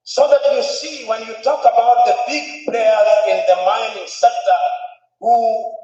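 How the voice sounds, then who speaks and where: Man, high-pitched orator, emphatic public address, echoey far-off hall sound. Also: fairly even intonation, a little slow, dark tone, faint music behind. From Tanzania. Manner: emphatic, oratorical, repeats phrases for stress. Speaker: conference speaker. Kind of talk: formal public speech. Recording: echoey hall, distant dull mic.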